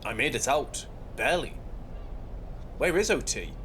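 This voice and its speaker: A man's voice: mid-range voice, lively intonation, unhurried. A man playing a determined, mutinous soldier who survived his squad, speaking in terse military style in an audio drama. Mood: relieved, urgent. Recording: very loud vehicle and ambience noise.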